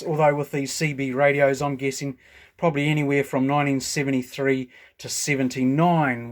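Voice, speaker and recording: A man with a New Zealand accent giving a casual, hands-on show and tell, rambling and thinking aloud as he goes. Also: medium pitch. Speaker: hobbyist radio reviewer. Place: indoors at a home workbench.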